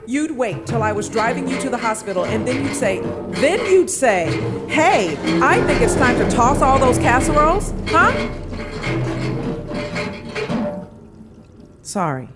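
Sardonic, animated young American daughter. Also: female voice, fairly high pitch, moderate pace, few pauses, loud music behind the voice. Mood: sarcastic. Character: exasperated, sarcastic grown daughter, quick to apologize. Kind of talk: naturalistic audio drama acting.

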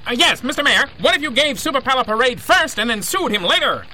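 Very high, pushy male reporter. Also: animated, quick pace, pushed and bright, slight background noise, exaggerated voice, poor audio. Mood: eager, insistent. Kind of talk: comedic audio drama.

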